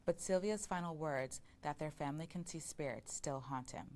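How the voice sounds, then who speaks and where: A woman's voice: deep voice, normal speed, a little mellow, slight background noise. A woman reading a scripted promotional introduction for an audiobook. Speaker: promotional announcer.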